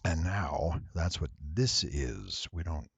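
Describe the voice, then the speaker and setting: Low, creaky man giving a slow tutorial. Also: animated, no echo, muffled recording. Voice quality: nasal. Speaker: voice actor giving a software tutorial. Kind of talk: casual screen-recorded tutorial.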